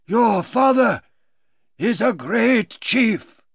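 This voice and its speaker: A man voicing a solemn old chief in a storybook reading, speaking with slow, stern emphasis. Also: very high-pitched, lively intonation, vocal fry, slightly rough, no echo, studio quality, voice pushed up, heavily acted. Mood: stern, questioning. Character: old chief Tamenund, solemn, questioning elder leader.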